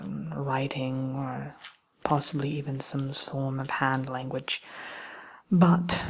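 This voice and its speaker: Woman playing a hushed, creaky evil queen. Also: deep voice, exaggerated voice. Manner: hushed, hesitant, politely wicked, slow deliberate phrasing. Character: sly, genteel evil queen selling cursed apples. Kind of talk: ASMR roleplay, soft-spoken and whispering.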